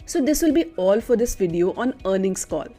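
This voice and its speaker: A woman's voice: medium pitch, expressive, a little fast, mellow. A woman reading a scripted educational narration as the narrator of an explainer video. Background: background music.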